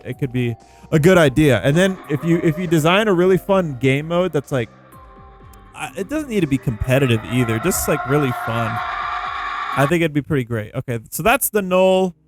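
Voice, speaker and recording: A man giving casual gameplay commentary, thinking out loud in an informal way. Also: on the high side. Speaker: gaming video creator. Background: background music.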